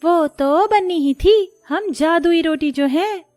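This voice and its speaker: Woman acting as a talking magic roti, high-pitched, boastful, preening, playful cartoon creature, background music. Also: slow, darkish tone, dry recording, studio quality.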